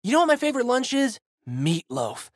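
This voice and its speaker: A man's voice: very high voice, huge pitch swings, fairly quick, dry recording, clean studio sound, full character voice. A man voicing a plucky, goofy teenage boy hero with slangy, exuberant delivery for a video game. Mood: casual, musing. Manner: exuberant, slangy surfer-dude boyishness. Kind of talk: video game character voice lines.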